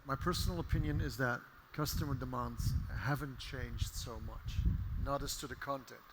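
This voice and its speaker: A man speaking as a panelist who implements systems, with a measured, thoughtful way of talking. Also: mid-range voice, steady tone, very noisy. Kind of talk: panel discussion.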